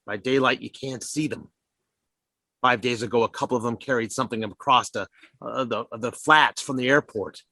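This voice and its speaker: A man's voice: medium pitch, gravelly, sharp tone, slightly rough, dry recording, full character voice. An actor playing a ragged militia survivor who rambles and stammers through his scheme in a radio drama.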